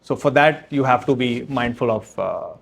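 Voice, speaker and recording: A man speaking as an author at a book launch, answering audience questions in a halting, step-by-step way with frequent restarts. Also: medium pitch, creaky. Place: event room with audience.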